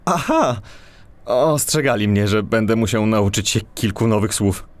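A man's voice: on the low side, unhurried, no room sound, close to an everyday voice. A man playing a polite, self-assured cat who is a police gadget expert, in a children's audio drama. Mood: upbeat.

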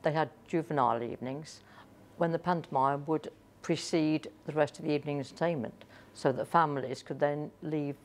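A woman's voice: low-pitched, fairly even intonation, moderate pace. A woman explaining history as an interviewee.

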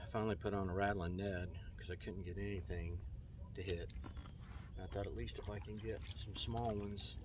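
A man's voice: sits low, steady tone, moderate pace. A man, chatting casually and rambling a bit in an easygoing, self-deprecating fishing vlog. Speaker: fishing vlogger. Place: inside a parked car; outdoors on a kayak on a lake. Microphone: phone camera at arm's length; camera mounted in front of a kayak. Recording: distant, dull mic with loud wind and water noise.

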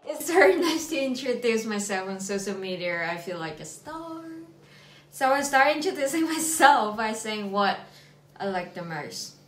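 A woman's voice: on the high side, big pitch swings, moderate pace. Playful Hong Kong woman, echoey room. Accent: Hong Kong English. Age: late 20s. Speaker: vlog co-host, nurse and yoga teacher. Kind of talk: casual couple vlog introduction. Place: small indoor room at home.

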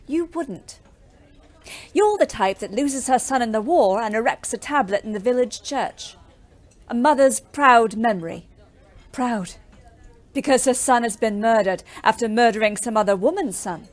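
A woman's voice: fairly high pitch, moderate pace, natural voice. An actress playing an earnest, outspoken young woman ambulance driver in a war audio play, intense and blunt. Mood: defiant, bitter. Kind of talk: audio drama acting.

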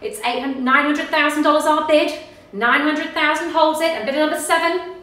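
A woman in her thirties with an Australian accent, working as an auctioneer and calling bids live with brisk, upbeat sales patter. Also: high voice, fairly even intonation, fairly bright tone, distant mic. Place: small office studio in front of a backdrop. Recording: echoey room, voice heard from off the mic.

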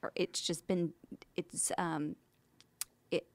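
An American woman with a slightly low voice chats casually as a podcast co-host. She is recorded close on a studio microphone, with very little room sound. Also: little pitch movement, takes it slowly, darkish tone, dry recording.

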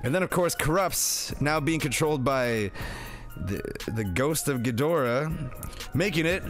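A man's voice: on the high side, lively intonation, measured pace, a bit bright, soft background music. A man narrating a comedic film review as host, with a jokey, theatrical, slangy delivery.

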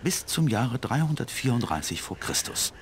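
An older man voicing a kindly elderly village priest in a cartoon game, telling tales in a measured way. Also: medium pitch, very animated, slight background noise, close to an everyday voice. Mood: scholarly storytelling. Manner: measured, storytelling. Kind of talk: scripted cartoon game dialogue.

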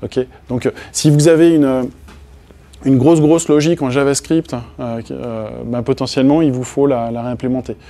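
Mellow-voiced man giving a tech talk. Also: mid-range voice, fairly even intonation, normal speed. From France. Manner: casual, many hesitations and restarts. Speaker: conference speaker. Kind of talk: technical conference talk. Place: meeting room with projector and small audience.

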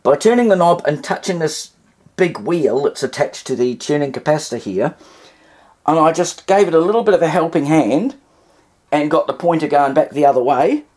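A man's voice: fairly high pitch, animated, moderate pace, a little mellow. A man giving a casual, unscripted demonstration in a hesitant, rambling way with wry asides. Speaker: vintage radio hobbyist demonstrating.

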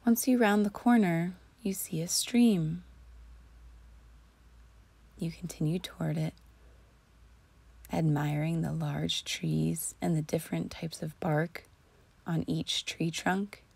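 Slow, breathy woman guiding relaxation. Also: low-pitched, a little mellow. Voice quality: breathy, often near a whisper. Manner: soothing, slow and deliberate with long pauses. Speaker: guided meditation host. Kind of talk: guided imagery relaxation narration.

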